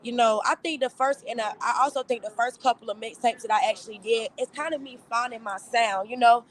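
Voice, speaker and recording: A young woman with a raspy voice and a Southern accent, talking about her music in a relaxed interview. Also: fairly high pitch, brisk. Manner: relaxed, filler-heavy, upbeat. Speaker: rapper, interview guest. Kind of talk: casual interview chat.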